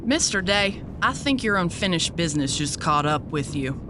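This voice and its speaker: A woman's voice: fairly low pitch, sing-song, normal speed, background noise. Woman acting a brazen, sardonic exorcist woman. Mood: menacing, smug. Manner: folksy, sardonic, drawling phrasing. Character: sassy, brazen woman exorcist, tough and foul-mouthed. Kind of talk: audio drama acting. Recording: music behind the voice.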